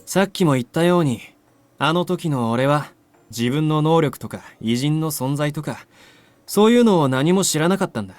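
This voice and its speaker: Visual novel role: nonchalant, cocky young male played by a man, rough casual speech, highish animated voice, brisk. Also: dry recording, natural voice. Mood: nonchalant explaining. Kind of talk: Japanese visual novel voice acting.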